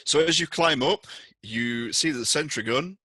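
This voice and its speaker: A man's voice: on the high side, moderate pace, sharp tone, breathy edge, no echo. A man narrating a tabletop roleplaying game as game master, describing scenes to players.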